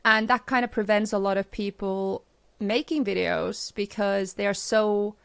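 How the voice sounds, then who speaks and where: A woman's voice: mid-range voice, fairly even intonation, unhurried, gravelly, a bit bright, clean tone, no echo. A woman in her thirties from Finland with a Finnish accent, a guest speaking candidly and reflectively in casual conversation, laughing often.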